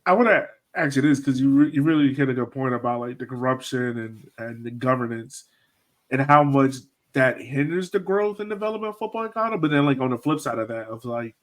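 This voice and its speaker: A man with a mid-range voice hosts a conversational interview. He talks informally and haltingly, piecing his questions and reactions together in short fragments. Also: mid-range voice, expressive. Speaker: podcast host.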